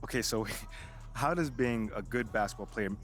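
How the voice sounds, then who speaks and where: A man's voice: medium pitch, normal speed, a bit bright, faint music behind, close to an everyday voice. A man playing an eager, respectful young pro basketball player in a video game cutscene, talking in casual slang. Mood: casual, slightly unsure. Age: young adult.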